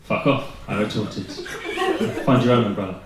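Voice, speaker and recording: A man's voice: on the low side, fairly even intonation, normal speed, heavy background noise. A Welsh man in his twenties performing spoken word poetry at a slam, with dry, self-deprecating humor between pieces. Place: live performance venue. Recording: very echoey room recorded from a distance.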